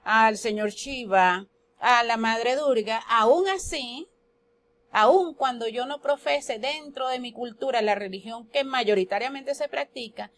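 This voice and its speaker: A woman from Colombia with a Colombian Spanish accent, giving a spoken lesson on astrology that poses questions and then answers them. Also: fairly high pitch. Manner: explanatory, asks and answers her own questions. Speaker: astrology teacher.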